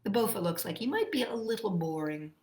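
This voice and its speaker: A woman hosting children's storytime, reading picture books aloud and chatting to young listeners in a playful, expressive, sing-song manner. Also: medium pitch, fairly quick, echoey room, slight background noise.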